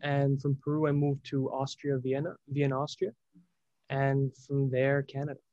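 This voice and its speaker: A man's voice: mid-range voice, completely flat delivery, moderate pace, dark tone, no echo. A young man from Spain, a startup founder speaking as an interview guest, hesitant and reflective, often restarting his phrases. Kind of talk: casual interview talk. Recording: dull lo-fi sound.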